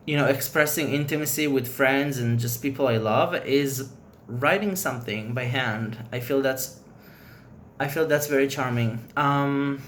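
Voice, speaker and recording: A man's voice: mid-range voice, a bit bright, slight background noise. Rambling native Hebrew speaker, man. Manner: rambling, loose, frank opinions. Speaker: vlogger. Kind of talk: casual chat to camera.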